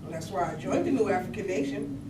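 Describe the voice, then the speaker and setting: A woman's voice: medium pitch, animated, fast talker, lightly acted. A woman acting a wistful, disillusioned idealist activist in an earnest, emotional staged monologue. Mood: idealistic. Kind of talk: staged reading of dramatic monologue. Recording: distant, echoey, very noisy room.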